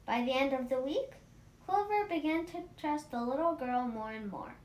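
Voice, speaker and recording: Woman, children's storybook read-aloud, high lilting voice, roomy echo. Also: moderate pace. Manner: animated, acts out dialogue. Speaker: storybook reader for a children's book club. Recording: roomy echo, faint rumbling noise.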